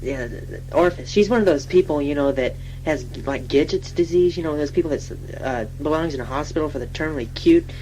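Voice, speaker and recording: A woman's voice: sits low, fairly quick, few pauses, warm tone, close to an everyday voice, rough recording. A woman playing a chatty, self-deprecating young station typist, improvising a rambling, giggly self-introduction in colloquial speech. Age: young adult. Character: chatty, self-deprecating twenty-six-year-old female station typist. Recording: faint hum and noise.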